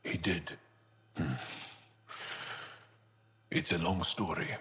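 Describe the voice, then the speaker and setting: An actor playing a gentle, earnest male alien resistance fighter in a video game, speaking in a measured, solemn, heartfelt way with a deep, gravelly voice. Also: fairly even intonation, big-room sound. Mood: wry, thoughtful. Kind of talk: video game cutscene acting.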